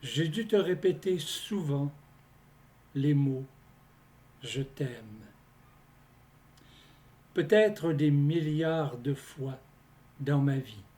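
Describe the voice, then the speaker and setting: A man reciting his poems in a scripted, deliberate delivery, phrase by phrase with pauses between them. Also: fairly high pitch, animated, dark tone. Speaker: poet reading own poems. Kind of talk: slow scripted poetry recitation.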